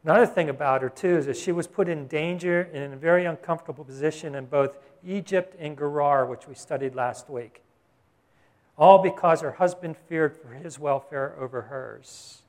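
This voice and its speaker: A man's voice: on the high side, moderate pace, darkish tone. A man preaching a sermon as a Bible teacher, in a conversational way with frequent questions to his listeners. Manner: conversational preaching, asks the congregation "Got it?". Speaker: pastor.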